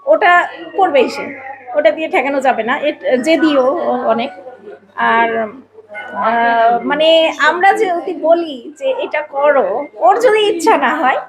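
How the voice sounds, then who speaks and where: A woman's voice: high voice, animated, a little slow, long pauses, crowd noise. A woman with a Bangladeshi accent giving casual interview answers as a mother talking about her child.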